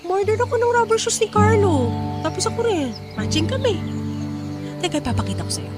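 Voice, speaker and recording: A woman's voice: extremely high pitch, very animated, a little fast. An actress playing a carefree, impulsive young single mother who loves shopping, breezy and playfully dismissive in Filipino radio drama style. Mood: upbeat. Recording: loud music bed behind the voice.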